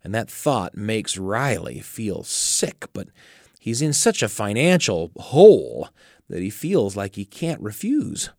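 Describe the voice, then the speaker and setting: A man's voice: lowish voice, big pitch swings, slow, darkish tone, dry recording, studio quality. A man hosting a radio show, narrating and recapping a story from a script with dramatic delivery.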